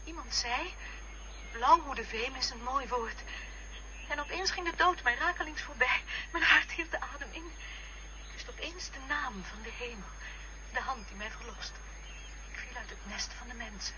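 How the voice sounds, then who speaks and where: A woman reciting dreamlike poetry in a slow, solemn manner. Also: high-pitched. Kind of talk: poetic recitation. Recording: distant lo-fi recording with clear noise.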